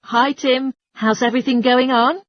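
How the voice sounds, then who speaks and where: A woman's voice: high voice, fairly even intonation, normal speed, no echo, natural voice. A woman playing a helpful, studious geography student in a scripted listening-test dialogue. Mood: helpful, reassuring.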